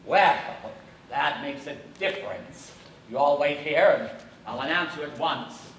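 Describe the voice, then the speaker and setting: A man's voice: on the high side, a little slow, fairly bright tone, slight background noise. An actor playing a flustered, bossy palace doorman who stammers and backpedals, in 1930s film musical style. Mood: startled. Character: flustered, blustering male palace doorman, middle-aged. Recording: roomy old 1930s film soundtrack from far off, music behind.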